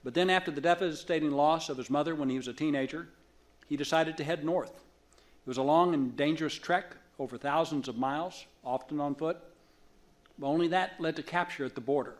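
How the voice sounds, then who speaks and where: A man speaks formally as a board chair introducing an honoree at an awards evening. His voice sits a little higher than most men's. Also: brisk. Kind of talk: formal speech at an awards ceremony.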